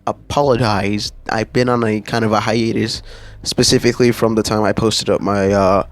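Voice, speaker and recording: A man's voice: on the low side, level tone, normal speed. Rambling young-sounding male gaming vlogger. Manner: rambling, lots of fillers and restarts. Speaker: gaming channel host. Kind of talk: casual patch-notes rundown.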